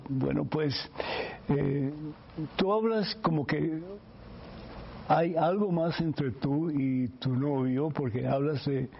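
A man, a priest hosting a radio program, preaching and then giving earnest, pastoral advice to a listener with many fillers. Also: mid-range voice. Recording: muffled radio broadcast with faint hiss.

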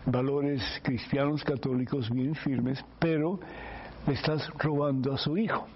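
A man's voice: mid-range voice, fairly even intonation, unhurried. A man, a priest hosting a radio program, preaching and then giving earnest, pastoral advice to a listener with many fillers. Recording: muffled radio broadcast with faint hiss.

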